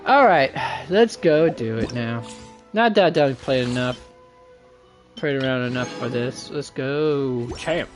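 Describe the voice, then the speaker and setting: A man's voice: medium pitch, animated, takes it slowly, faint music behind. A man doing casual game livestream commentary, rambling and thinking aloud to his viewers. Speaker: game streamer.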